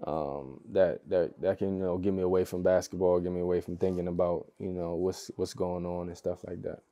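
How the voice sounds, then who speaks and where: Laid-back young man, low mellow voice. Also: little pitch movement, brisk. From United States. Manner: laid-back, easygoing, laughs often, loose phrasing with many fillers. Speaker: basketball player, podcast guest. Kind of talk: relaxed casual interview chat.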